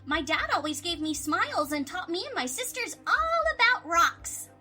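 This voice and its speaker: A bubbly, hyper young pony mare, squeaky and very high. She is perky and childlike, with animated swoops over music in a cartoon audio drama. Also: moderate pace, exaggerated voice. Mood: earnest.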